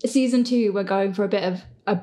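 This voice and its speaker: A woman's voice: medium pitch, brisk, dark tone. A woman hosting a casual question and answer chat, giggly, rambling and self-deprecating. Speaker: podcast host, creator and voice actor of the show.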